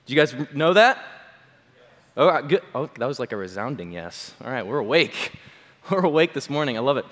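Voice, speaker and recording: A man, a pastor, giving a chatty Sunday sermon with a slightly hoarse voice and many fillers. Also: mid-range voice, very animated, moderate pace, fairly bright tone. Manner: chatty, self-deprecating, full of fillers. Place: church hall. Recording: long hall reverb.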